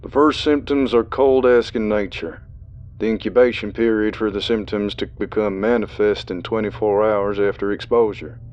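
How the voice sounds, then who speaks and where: A man's voice: on the low side, steady tone, normal speed, darkish tone, dull audio, pitched above the performer's own voice, lightly acted. A man voicing an older male official who announces in a formal, bureaucratic way.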